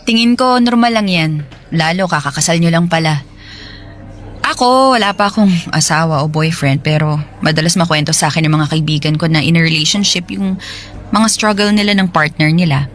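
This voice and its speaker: A woman's voice: sits low, brisk, natural voice. Sympathetic Filipino woman, played by an actress. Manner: casual, sympathetic, conversational. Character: friendly single office woman, sympathetic listener. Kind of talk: Filipino radio drama acting.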